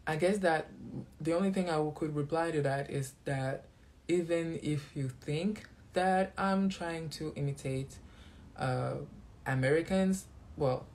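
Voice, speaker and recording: A man's voice: fairly high pitch, a little slow, darkish tone. A man from Belgium speaks English, though French is his first language. He delivers a casual, defiant monologue straight to his viewers, throwing shade at his critics with a sassy edge. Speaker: vlogger.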